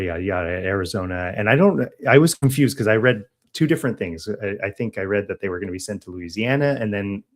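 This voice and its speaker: An American man co-hosting a podcast, casually talking through news in a halting way, correcting himself as he thinks aloud. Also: fairly low pitch. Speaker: podcast co-host.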